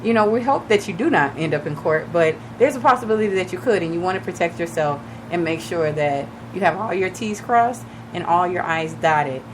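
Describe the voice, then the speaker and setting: A woman radio host with a raspy, slightly shaky voice from recently losing it, chatting casually and advising listeners directly. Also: lowish voice, animated, fairly quick, hardly any pauses, background noise. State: recovering from lost voice, a little shaky. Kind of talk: casual radio talk on real estate. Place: radio studio.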